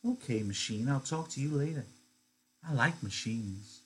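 A man doing a young ranch wife's voice, pitched well up, sneering and threatening, loud and cutting, in a storybook reading with a faint hum of room tone behind. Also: lively intonation, normal speed, lightly acted. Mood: teasing. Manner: high, taunting and menacing.

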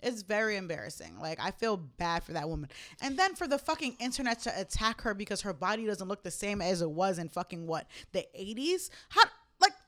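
A young woman co-hosting a podcast, chatting casually, cursing freely and jumping up high when outraged. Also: a little fast, few pauses. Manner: animated, swears often, spikes high when outraged. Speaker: podcast co-host. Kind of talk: casual chat.

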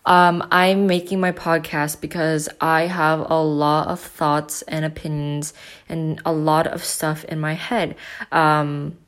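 An eighteen-year-old woman hosting her own casual podcast from home, with a deep, loud voice, rambling with lots of fillers and restarts. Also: little pitch movement, slow pace, clear, resonant tone. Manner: rambling, filler-heavy, self-interrupting. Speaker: podcast host. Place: at home with family.

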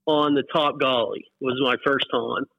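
A man's voice: medium pitch, steady tone, normal speed, creaky, pushed and bright, no echo. American guy in his 40s reminiscing casually, phone-like sound, pretty dry. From West Virginia, US. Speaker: interview guest, whitewater paddler. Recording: muffled phone-like line, dry.

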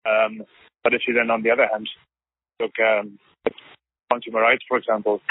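A man's voice: on the low side, little pitch movement, normal speed, husky, no room sound. A man, a law researcher, answering interview questions on a phone call in a thoughtful way, with many fillers.

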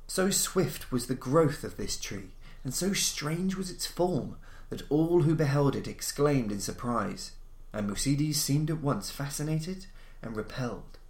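A man with a mid-pitched voice narrates classic fiction in a roomy, echoing space. His delivery is formal and measured, in the manner of an audiobook reader of old horror tales. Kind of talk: literary story reading, scripted narration.

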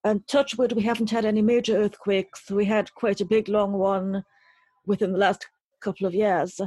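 Woman being interviewed, thoughtful careful phrasing, bit husky, really dry close sound. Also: mid-range voice, flat delivery, darkish tone, no room sound. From Trinidad. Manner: articulate, literary, deliberate phrasing. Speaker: interview guest, poet and writer. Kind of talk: reflective interview conversation.

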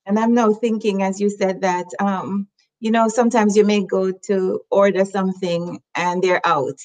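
A woman's voice: mid-range voice, fairly even intonation, normal speed, darkish tone. A woman hosting an interview, talking in a reflective, conversational way and laughing lightly. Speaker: host.

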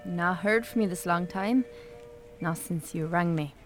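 A woman's voice: lowish voice, measured pace, soft background music, lightly acted. An actress playing a frank, concerned small-town Irish woman, blunt and earnest, in naturalistic radio drama. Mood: gently probing.

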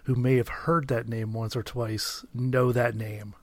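A man's voice: mid-range voice, level tone, unhurried, darkish tone. A man hosting a podcast, upbeat and enthusiastic, who keeps addressing his listeners as guys. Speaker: podcast host.

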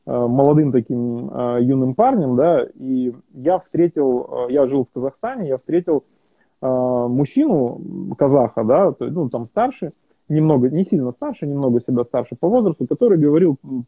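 Interview guest, male, husky voice over a tinny phone-like line, halting delivery full of fillers. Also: medium pitch, lively intonation, dry recording. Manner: hesitant, many fillers and restarts. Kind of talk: casual conversational interview.

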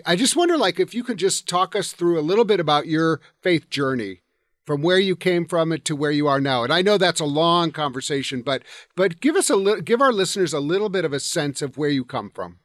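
A male podcast host with a high voice speaks with earnest enthusiasm, often stumbling and repeating words as he goes. The recording is dry and close. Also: fairly bright tone, no room sound. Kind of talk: conversational interview.

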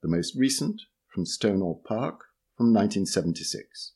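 Guy reading an essay out loud, lowish husky voice, careful and measured. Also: expressive. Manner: measured, literary reading with lifted emphasis on key words. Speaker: reader of a magazine article. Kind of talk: read-aloud essay narration.

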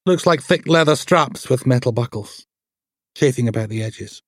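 A man's voice: medium pitch, expressive, normal speed, dry recording, studio quality, voice pushed up, close to an everyday voice. A man voicing a precise, humourless female pathologist in an audiobook, formal and clinical.

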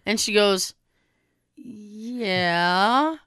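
Young woman, cold-hoarse, bright crisp voice, dry close sound, animated storyteller. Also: medium pitch, drawn-out words, dry recording. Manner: animated, swearing, acts out quoted dialogue. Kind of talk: casual storytelling chat.

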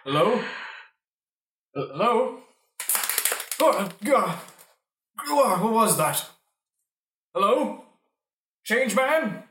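A man's voice: high-pitched, sing-song, drawn-out words, pauses often, distant mic, noisy background, full character voice. Man playing a jittery young lawyer, echoey recording. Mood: frightened. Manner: hesitant, jittery muttering to himself. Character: nervous young lawyer walking home alone at night. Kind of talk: improvised radio play acting. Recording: echoey room, off the mic.

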